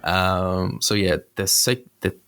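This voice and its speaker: A man's voice: sits low, steady tone, takes it slowly, fairly bright tone, clear, resonant tone. A man hosting a podcast, chatty and loose, correcting himself and filling gaps with little asides. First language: not English. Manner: chatty, self-correcting, filler-heavy. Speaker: podcast host. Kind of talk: casual interview chat.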